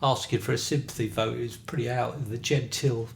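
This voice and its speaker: Guest: man from South London, gravelly, dry and understated, faint noise behind. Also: medium pitch, steady tone, fairly quick. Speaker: podcast guest. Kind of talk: casual chat.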